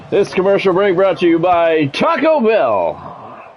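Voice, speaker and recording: A man's voice: high-pitched, huge pitch swings, takes it slowly, lo-fi sound, soft background music. A man doing sports play-by-play of a video game on a stream, mixed with casual chat with viewers.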